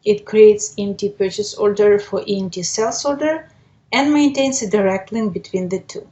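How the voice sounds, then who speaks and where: Female software trainer, mid-range voice, methodical step-by-step explanatory delivery for a webinar demo. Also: mid-range voice, normal speed, muffled recording.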